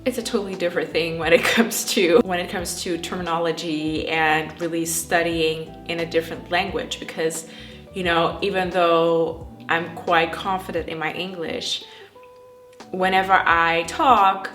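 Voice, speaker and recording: A woman's voice: on the low side, steady tone, normal speed, a bit bright. A young woman from the Netherlands with a Dutch accent, chatting casually to camera and filling her sentences with "like" and "um.". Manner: chatty, frequent fillers like "like" and "um". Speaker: trichologist and YouTuber. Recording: echoey room with faint music.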